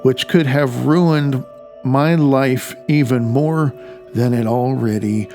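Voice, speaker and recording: A man reads his own audiobook memoir in a mid-pitched voice with heavy vocal fry. His delivery is somewhat halting, with repeated words and small stumbles left in. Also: music in the background. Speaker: author reading own audiobook.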